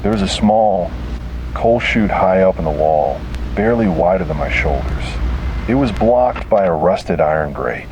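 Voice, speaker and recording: Low deep male voice reading a creepy horror tale, dramatic short phrases, noisy rumbly background. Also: lively intonation, a little slow. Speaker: horror story narrator. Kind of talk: scripted first-person horror narration.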